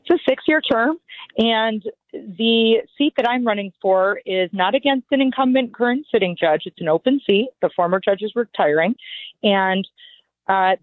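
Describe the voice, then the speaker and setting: A woman in her forties, a judicial candidate, answering interview questions in an earnest, measured, lawyerly way. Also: medium pitch, dry recording. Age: 40s. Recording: phone-like line, dry.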